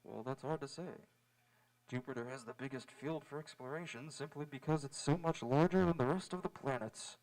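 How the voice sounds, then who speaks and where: A man acting as a young space adventurer from a vintage radio serial. His voice has a middling pitch. He speaks politely and sincerely, in measured phrases, like an earnest hero. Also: a little mellow, close to an everyday voice, rough recording. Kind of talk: old-time radio drama acting. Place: live on an auditorium stage.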